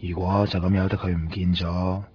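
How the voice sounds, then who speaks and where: Male actor for cocky finder of lost things, very low voice, deliberate, Hong Kong Cantonese accent, lo-fi 1990s radio drama. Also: level tone, a little hiss or hum, lightly acted. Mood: cocky, teasing. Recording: dull lo-fi radio recording, music behind.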